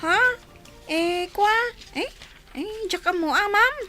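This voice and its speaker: A woman's voice: very high voice, takes it slowly, music in the background. A woman with a Filipino accent playing a hesitant, evasive young housemaid in a radio comedy drama. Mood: flustered, evasive. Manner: hesitant, stammering.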